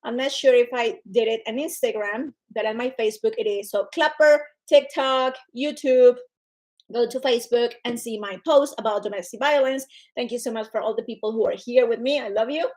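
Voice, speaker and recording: A woman's voice: a little high, brisk. A woman from Colombia with a Colombian Spanish accent, talking casually and energetically to viewers on her own livestream. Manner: energetic, chatty, greets viewers by name. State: recovering from illness. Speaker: livestream host.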